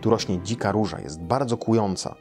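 A man voicing a patient, caring mother bear in a children's storybook, calmly explaining things to her cub. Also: on the low side, a little fast, soft background music, lightly acted. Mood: gently warning. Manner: calm, instructive.

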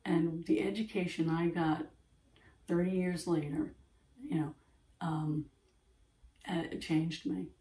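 Gravelly low-voiced woman, roomy. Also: steady tone, slow, long pauses, mellow, recorded from a distance. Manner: earnest, rambling, repeats herself. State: throat irritation, clearing throat. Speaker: artist, channel host. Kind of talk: casual talk to camera. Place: bright home art studio by windows. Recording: echoey room, voice from off-mic.

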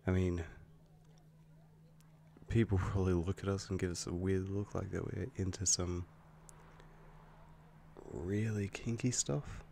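A man playing an affectionate, protective, easily angered young werewolf boyfriend in an intimate ASMR roleplay, with a hesitant, halting delivery. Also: low voice, fairly even intonation, vocal fry, mellow, slight background noise. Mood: tender, musing. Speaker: roleplay audio performer.

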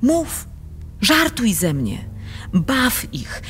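Proud countess, very slow delivery. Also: female voice, fairly high pitch, big pitch swings, a bit bright, slight background noise, exaggerated voice. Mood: bitter, defiant. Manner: imperious, dramatic delivery. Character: proud, sick countess, commanding and bitterly defiant. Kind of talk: theatrical radio drama in verse.

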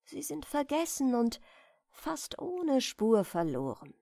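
A woman narrator softly reading a bedtime story in a gentle, soothing manner. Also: high voice, big pitch swings, vocal fry, dry recording, clean studio sound. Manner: gentle, soothing, unhurried delivery. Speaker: audiobook narrator.

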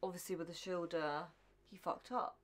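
A woman's voice: on the low side, fairly even intonation, moderate pace. A young woman chatting casually as co-host of a reaction show. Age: young adult. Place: small room at home, indoors. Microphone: desk microphone between two hosts.

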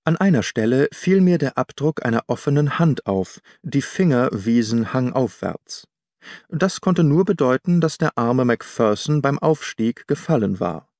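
A man narrates an audiobook in a formal, measured way. His voice sits at a medium pitch. The recording is very dry and close, with hardly any room sound. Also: lively intonation, darkish tone, dry recording. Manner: measured, formal literary storytelling.

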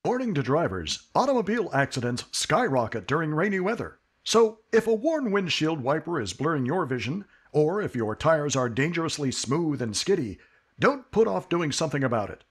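A man announcing a 1950s-style radio commercial in a brisk, upbeat read. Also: a little high, sing-song, breathy edge.

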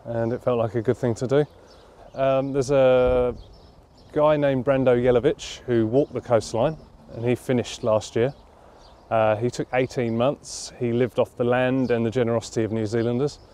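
A documentary interviewee at a campsite, a man in his thirties with a mellow, lowish voice, pausing to think as he explains himself. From London, England. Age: 30s. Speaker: interviewee, charity cyclist. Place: outdoors at a leafy campground.

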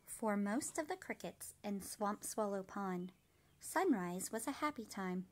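A woman in her thirties reading a storybook aloud to young children like a kindergarten teacher, measured and expressive. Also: mid-range voice.

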